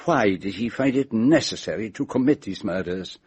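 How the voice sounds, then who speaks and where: A man's voice: on the low side, lively intonation, moderate pace, breathy edge. An actor playing a shrewd, courteous male detective in a radio drama, with a Belgian French accent and a formal, precise way of talking. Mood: reflective, intent.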